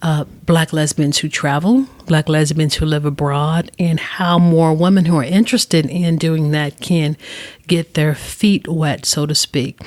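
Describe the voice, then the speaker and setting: Low-voiced woman podcast host. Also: darkish tone, no echo. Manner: welcoming, polished interviewer. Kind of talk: hosting an interview podcast. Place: recording session in Atlanta.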